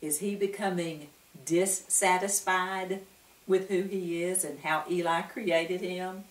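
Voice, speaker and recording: A woman's voice: on the low side, fairly even intonation, slow pace. A woman reading a children's story aloud with expression, asking her young listeners questions as she goes. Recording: roomy, distant mic.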